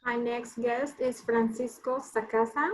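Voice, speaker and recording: Higher-pitched woman introducing guests. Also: fairly even intonation, unhurried, rough recording. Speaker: meeting member introducing guests.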